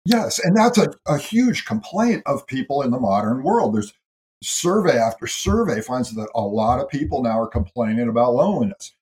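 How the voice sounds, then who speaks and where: A man's voice: medium pitch, sing-song, moderate pace, a little mellow, rough recording. A middle-aged man from the United States, a writer and health educator being interviewed on a podcast, explaining his ideas in a thoughtful way and often repeating words as he goes.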